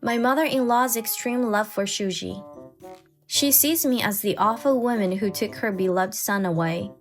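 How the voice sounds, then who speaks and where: A woman's voice: medium pitch, normal speed. A woman reading scripted first-person story narration as a put-upon wife, with music underneath. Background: background music.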